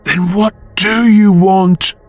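A grieving, desperate widower, as a male storyteller puts him on, pleading through tears in an audio horror tale. The voice is pushed high and lurches up and down, dragged slowly as if barely holding together. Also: wildly swooping pitch, fairly bright tone, no room sound, soft background music, exaggerated voice. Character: grief-stricken, widowed man pleading.

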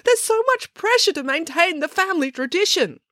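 A woman's voice: high-pitched, big pitch swings, moderate pace, a bit bright, no echo, studio quality, voice pushed up. A woman voicing a tearful schoolgirl caught cheating, pleading and wailing.

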